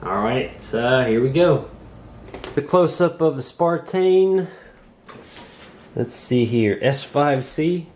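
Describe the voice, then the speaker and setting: A man's voice: mid-range voice, expressive, slow pace, long pauses, darkish tone, reverberant, slight background noise. A man recovering from a cold, hosting a casual drone review from his kitchen table in a folksy, chatty way with lots of fillers. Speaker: host of a drone review channel.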